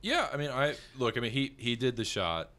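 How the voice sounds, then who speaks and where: A man in his thirties with a big voice, a filmmaker talking through his thoughts in a casual conversation, halting and restarting as he goes. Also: medium pitch, sing-song, sharp tone. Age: 30s. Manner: rambling, halting, with frequent restarts. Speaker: filmmaker guest.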